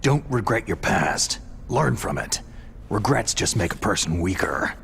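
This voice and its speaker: A hard-boiled lone soldier in a video game. The voice sits low and gruff, and the delivery is terse, stoic and emotionally guarded, as if closeness is unwelcome. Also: male voice, sing-song, a little slow, a bit bright, slight background noise, exaggerated voice. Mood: stern. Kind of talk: video game cutscene acting.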